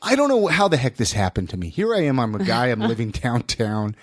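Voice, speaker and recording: Man, American accent, podcast host, animated intonation, congested head-cold sound, casual, dry close sound. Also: mid-range voice, fairly quick, no room sound. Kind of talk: casual podcast chat and sponsor reads.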